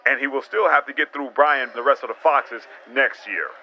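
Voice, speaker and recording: An actor playing a measured color commentator in an audio drama, giving analysis of a high school basketball game. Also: male voice, natural voice. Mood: analytical. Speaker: sports commentator. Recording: dull radio-style broadcast with faint noise.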